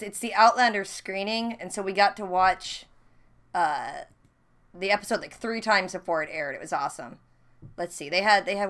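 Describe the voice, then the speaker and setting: A woman vlog host chatting casually and candidly, with animated, jokey commentary on her old videos. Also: medium pitch. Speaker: host of a reaction channel.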